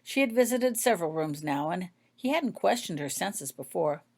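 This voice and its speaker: Female author-narrator for scripted fiction, pitched a little low. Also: animated, moderate pace, a little mellow. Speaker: author reading own fan fiction.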